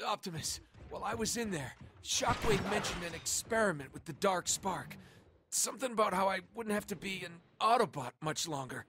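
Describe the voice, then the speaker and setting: An actor voicing a scrappy, stubborn robot fighter in a video game, informal and strained. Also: male voice, sits high, expressive, moderate pace, noisy background. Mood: strained, dogged.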